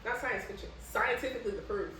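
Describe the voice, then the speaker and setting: A woman's voice: fairly high pitch, fast. Very echoey, distant American woman telling stories. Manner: animated retelling, acting out quoted dialogue; informal, swears freely. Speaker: podcast host. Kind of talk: casual conversational storytelling. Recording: very echoey room, distant mic, faint noise.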